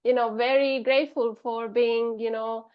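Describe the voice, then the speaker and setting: A woman's voice: a little high, flat delivery, normal speed, clear, resonant tone, lo-fi sound. A Spanish-accented woman in her thirties, a podcast guest talking casually with many fillers and restarts. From Spain. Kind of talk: casual interview talk.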